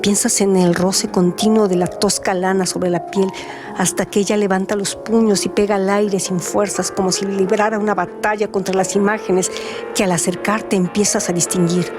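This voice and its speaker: A woman with a medium-pitched voice narrates in a scripted literary radio style. Music plays clearly behind her as she reads. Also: little pitch movement, moderate pace.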